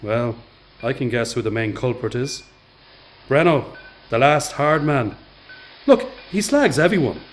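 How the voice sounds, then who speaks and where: A man's voice: medium pitch, animated, unhurried, long pauses, natural voice. An actor with an Irish accent playing a patient, kindly tradesman in a radio play, explaining and encouraging in informal speech. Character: patient, kindly male tradesman mentoring a young apprentice.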